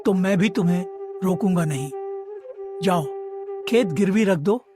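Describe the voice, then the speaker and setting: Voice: medium pitch, unhurried, natural voice. Someone acting an ailing old village father, flute behind. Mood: resigned trust. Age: older. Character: weak, ailing elderly village father, gentle and resigned. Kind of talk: Hindi moral cartoon story dialogue. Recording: clear background flute music.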